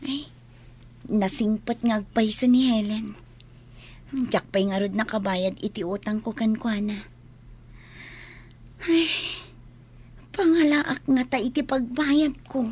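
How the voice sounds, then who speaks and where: Woman playing a worried filipino daughter in a radio drama, highish voice, earnest and respectful. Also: slow, long pauses, a little mellow, breathy edge. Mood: troubled. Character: dutiful, worried daughter and hard-up mother. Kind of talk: Ilocano audio drama acting.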